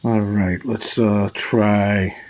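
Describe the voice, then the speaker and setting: A man giving a casual, low-key hands-on product demo, haltingly thinking aloud as he goes. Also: little pitch movement, darkish tone. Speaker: radio review video host. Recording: noisy, with radio static and stations. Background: radio playing shortwave and broadcast stations.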